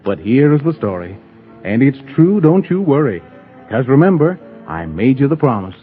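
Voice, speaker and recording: A man's voice: mid-range voice, very animated, normal speed, soft background music, close to an everyday voice. A man playing a whimsical, sing-song storybook narrator in a 1930s children's radio program. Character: playful storybook narrator of a children's Christmas tale. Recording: tinny old 1930s radio recording.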